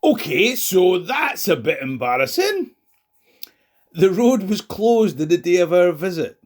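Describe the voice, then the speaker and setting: A man's voice: sits high, very animated, measured pace, a little mellow. A Scottish man presenting as a history tour guide, speaking to camera in a conversational, wry way. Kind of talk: history travel storytelling to camera. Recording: noisy outdoor location, traffic noise.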